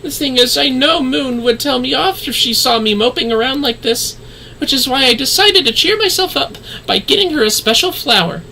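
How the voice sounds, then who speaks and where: A man's voice: very high voice, vocal fry, bright, forceful tone, slight background noise, voice pushed up, full character voice, low-quality recording. A man voicing a grieving, timid young woman in a video game, with a delicate, hesitant, apologetic delivery.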